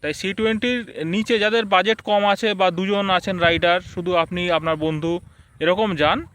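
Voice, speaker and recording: A man's voice: high-pitched, fairly even intonation, normal speed, fairly bright tone, clear, resonant tone. A man giving a casual, explanatory product review, speaking conversationally to his viewers.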